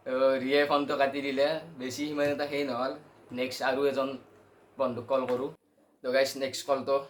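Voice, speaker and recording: A man's voice: mid-range voice, level tone, fairly quick, slight background noise. A man with an Assamese accent chatting casually and directly to his viewers as host of a prank call video. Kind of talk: casual vlog commentary to viewers.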